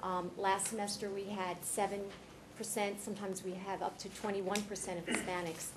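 A woman heading a nursing department, answering board questions in a loud voice full of hesitant ums. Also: mid-range voice, flat delivery, normal speed. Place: large conference room. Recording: noisy meeting room.

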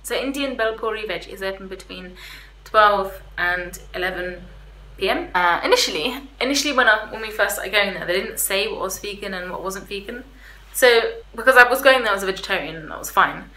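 Young female vlogger, casual and a little hesitant, bright tone, recorded in an echoey room. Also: medium pitch, brisk. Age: young adult. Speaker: vlogger reviewing restaurants. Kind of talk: casual chat to camera. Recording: roomy echo, faint background hiss.

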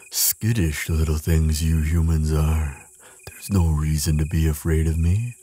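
Casting: male actor for a kindly, protective giant in an audio roleplay; very low, slow voice, tender and soothing. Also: dark tone, husky, heavily acted. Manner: tender, reassuring, polite, slightly hesitant. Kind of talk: roleplay audio drama, speaking soothingly to the listener.

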